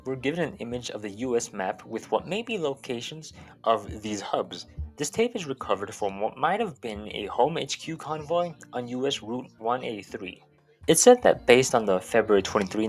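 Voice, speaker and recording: A man hosting a video essay, giving an informal, sweary recap that mixes scripted narration with casual commentary. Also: mid-range voice.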